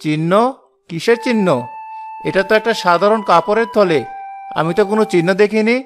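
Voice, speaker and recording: A man's voice: high voice, brisk, faint music behind, close to an everyday voice. A man voicing an honest, poor woodcutter in a cartoon tale, earnestly protesting that he is wronged. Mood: indignant. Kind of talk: dramatic cartoon voice acting.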